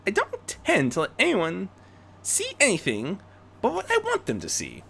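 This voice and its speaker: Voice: medium pitch, huge pitch swings, normal speed, creaky, fairly bright tone, husky, voice pushed up, full character voice. A performer voicing a young female unicorn vigilante, refined and determined, speaking in an earnest, theatrical, articulate way for a fan fiction reading. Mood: confident, smug.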